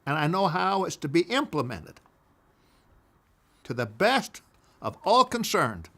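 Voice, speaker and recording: An older man telling a personal story from his past, emphatic, swinging between loud declarations and hushed asides. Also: on the high side, big pitch swings, unhurried.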